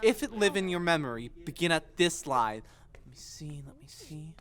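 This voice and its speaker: Young woman as sardonic mad-acting prince, shakespeare audio drama, very animated, lowish voice. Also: normal speed, faint music behind. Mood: dramatic recollection. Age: young adult. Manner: theatrical, mercurial, sardonic. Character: Hamlet, witty, mocking young prince feigning madness.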